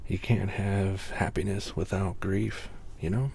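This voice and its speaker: A man reading bedtime stories in soft-spoken ASMR style, slow and breathy, with wry casual asides. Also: steady tone, a little hiss or hum. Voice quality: deep, soft, breathy near-whisper. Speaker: ASMR reader.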